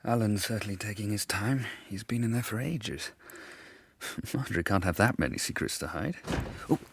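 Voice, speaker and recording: An actor playing an eager, good-natured British gentleman amateur sleuth in a radio drama, earnest and easily flustered. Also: on the low side, moderate pace, vocal fry, breathy edge, dry recording. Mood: curious.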